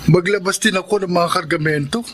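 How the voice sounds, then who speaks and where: Radio drama dockworker dad, earnest, principled, forceful tone, faint background noise. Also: male voice, high-pitched, a little fast. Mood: defensive. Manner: earnest, pleading. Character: principled, put-upon poor dockworker husband and father. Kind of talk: Filipino radio drama acting.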